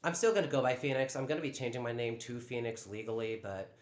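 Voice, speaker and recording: A man with a naturally loud voice talking on a live stream at home, defensive and stammering over repeated words. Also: mid-range voice, quick pace. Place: at home in a downstairs room. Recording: echoey room.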